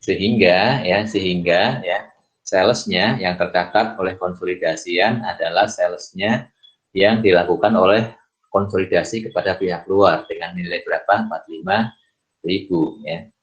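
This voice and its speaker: Male lecturer, low register, halting explanatory delivery with repeated words, dull lo-fi sound. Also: steady tone. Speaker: accounting lecturer. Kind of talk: explanatory lecture with worked examples. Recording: dull lo-fi voice recording.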